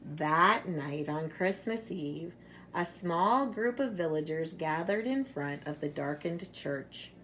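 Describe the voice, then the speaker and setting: Lady reading a kids story, slow and lowish, dramatic pauses, bit of background hiss. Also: animated, fairly bright tone. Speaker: storybook reader. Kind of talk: expressive children's read-aloud.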